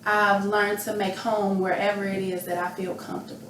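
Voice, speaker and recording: A woman's voice: mid-range voice, little pitch movement, brisk, a bit bright. American woman chatting in a roomy echo. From Chicago. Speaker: panel guest. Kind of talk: casual chat. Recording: echoey, distant mic, faint noise.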